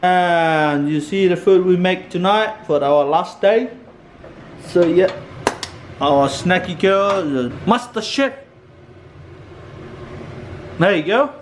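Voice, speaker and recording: A man's voice: sits high, slow, long pauses. A man with a Samoan accent hosting a casual vlog, playful and talking in loose, broken English. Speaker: vlog host. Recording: echoey room with clear background noise.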